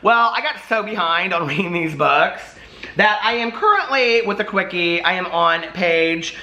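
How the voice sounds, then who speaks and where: A middle-aged American man talking casually to his viewers in a chatty, animated, rambling way. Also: high voice, moderate pace, rough recording. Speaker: book vlogger. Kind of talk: casual chatty vlog talk.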